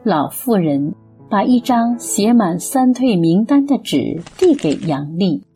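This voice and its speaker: A woman narrating a radio drama in a measured, expressive, scripted storytelling style. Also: mid-range voice, faint music behind.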